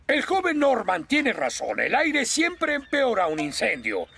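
High, bright, blustering older fire chief. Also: male voice, wildly swooping pitch, a little slow, creaky, heavily acted. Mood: stern. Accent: Latin American Spanish. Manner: blustering, commanding. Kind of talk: animated cartoon dubbing.

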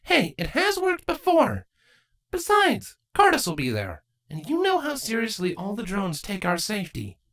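Woman acting a lively young changeling princess. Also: medium pitch, normal speed. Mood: upbeat. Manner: casual, earnest. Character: young changeling princess daughter, bookish, caring, lightly playful. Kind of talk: acted fan fiction audiobook dialogue.

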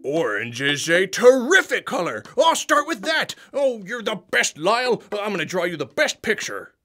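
A man's voice: high-pitched, sing-song, moderate pace, pushed and bright, heavily acted. A man voicing an indecisive, childlike, friendly monster puppet for a kids' show, exclaiming and drawing out words as he dithers. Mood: delighted.

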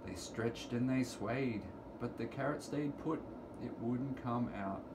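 A middle-aged man reading a children's picture book aloud to preschoolers in deliberate, sing-song storytelling phrasing, recorded in a room at home. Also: mid-range voice. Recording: clearly noisy home recording, room ambience.

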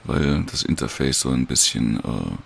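A man casually and hesitantly talks viewers through a screen recording in an informal, unhurried tutorial. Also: rumbling low, big pitch swings, creaky, fairly bright tone, husky. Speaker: audiobook creator giving a download tutorial.

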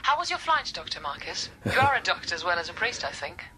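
An actress playing a brisk, self-assured woman doctor, heard over a telephone in a radio drama. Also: lowish voice, very animated, pushed and bright, breathy edge, full character voice. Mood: brisk, polite. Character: brisk, forward female institute doctor on telephone. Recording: telephone call with faint noise.

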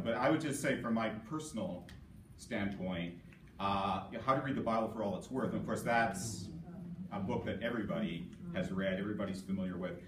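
A man with a lowish voice hosts an academic session and introduces it informally. He talks haltingly and often corrects himself. He sounds far from the microphone in a very roomy space. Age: middle-aged. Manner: hesitant, halting, self-correcting. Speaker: presiding host of a scholarly session, New Testament scholar. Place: conference session room, recorded from a distance. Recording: echoey room, distant mic, loud background noise.

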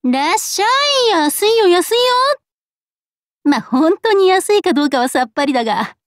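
A woman voicing a laid-back, blunt tomboyish gunner in a game, with rough, boyish, offhand speech. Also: extremely high pitch, very animated, normal speed, dry recording, studio quality. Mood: offhand, breezy. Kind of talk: Japanese game character voice acting.

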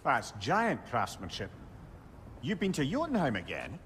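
An actor with a Scottish accent playing a wry, knowing older advisor in a video game cutscene. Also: male voice, mid-range voice, huge pitch swings, a little slow, a bit bright, slight background noise. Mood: pondering. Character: wry, learned older male advisor, a severed head.

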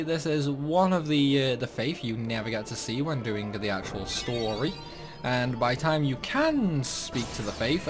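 A chatty man giving casual playthrough commentary, playfully talking to the game's characters. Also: fairly high pitch. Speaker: gaming video host. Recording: music behind the voice. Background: game music.

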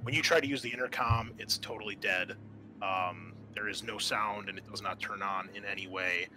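A man speaking haltingly as game master, narrating scenes and explaining rules in a tabletop roleplaying game. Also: fairly low pitch, fairly even intonation. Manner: hesitant, halting, thinking aloud. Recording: clear background noise, amateur podcast.

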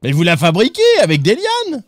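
A man playing a pompous, eccentric gentleman in a roleplay game, theatrical and mock-offended. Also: high-pitched, huge pitch swings, brisk, dry recording, exaggerated voice. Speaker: streamer. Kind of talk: in-character roleplay.

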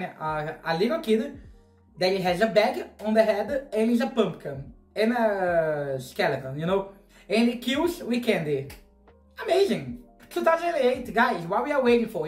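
Excitable Brazilian-accented man, roomy echo. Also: high-pitched. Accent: Brazilian Portuguese. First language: Portuguese. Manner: excitable, gushing, swears casually, gestures widely. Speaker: movie reaction host. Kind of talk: casual reaction commentary. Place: small bedroom, indoors. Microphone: wired earphone mic.

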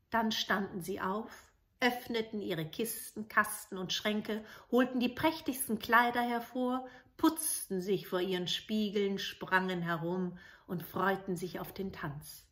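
A middle-aged woman tells a children's fairy tale in a bright, forward voice at medium pitch. Her delivery is dramatic, swelling and dropping in volume, recorded at home facing her device. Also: a little slow, hardly any pauses. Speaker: storyteller. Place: room in a home.

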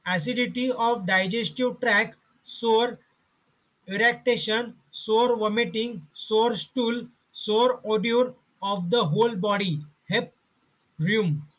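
A man slowly reading out homeopathy study notes in short, halting fragments. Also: high voice, steady tone, fairly bright tone, rough recording.

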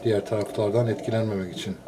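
A man, a radio hobbyist, explains a receiver in a casual, unscripted walkthrough, pausing between short phrases. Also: on the low side, little pitch movement, noisy background. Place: indoors, filming a computer screen.

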